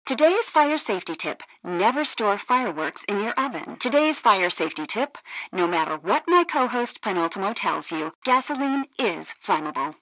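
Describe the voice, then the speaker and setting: An actress playing an earnest, nature-loving radio news co-host in a satirical game, expressive and drily exasperated. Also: female voice, mid-range voice, moderate pace, no room sound, poor audio.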